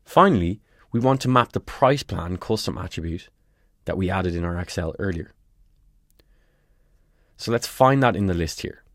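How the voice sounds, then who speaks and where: A man presenting a scripted tutorial, giving clear step-by-step instructions in a close, dry voice-over recording. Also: sits low, animated, normal speed, long pauses, fairly bright tone, no echo.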